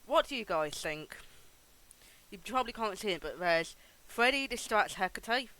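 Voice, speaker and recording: A woman's voice: mid-range voice, lively intonation, normal speed, bright, forceful tone, poor audio. A woman streaming a game with casual, sweary, reactive commentary.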